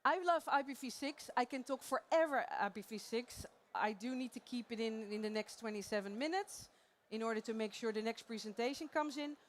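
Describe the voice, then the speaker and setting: Dutch woman on a conference stage, chatty and playful, laughs a lot, voice sits a bit high. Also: brisk, hardly any pauses. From Netherlands. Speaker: conference presenter. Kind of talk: live conference talk to an audience.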